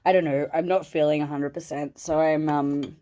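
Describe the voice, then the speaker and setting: Low-voiced snuffly woman chatting about plants. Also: steady tone, normal speed, dark tone. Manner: chatty, rambling, talks to her plants. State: stuffy and snuffly from a cold. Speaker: plant vlogger. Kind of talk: casual chat while handling plants. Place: at home indoors. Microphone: phone camera.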